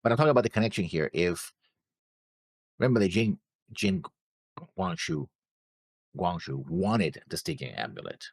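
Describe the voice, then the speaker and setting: A man co-hosting a reaction show, chatting casually and rephrasing as he argues his points in a halting way. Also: on the low side, huge pitch swings, creaky, no echo. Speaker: co-host of an anime reaction show. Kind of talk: casual discussion.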